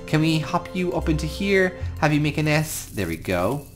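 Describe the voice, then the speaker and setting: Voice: mid-range voice, very animated, moderate pace, faint music behind. An Irish gaming host giving improvised storytelling commentary over a game, talking affectionately to the creatures. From Ireland.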